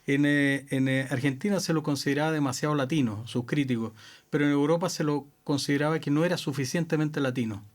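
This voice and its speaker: A podcast guest speaking in a measured, somewhat hesitant way in casual conversation. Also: mid-range voice. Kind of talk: casual chat.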